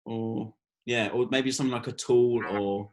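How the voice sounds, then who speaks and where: A man's voice: medium pitch, steady tone, normal speed, a little mellow, low-quality recording. A man talking casually in a meeting as one of the actors at a table read.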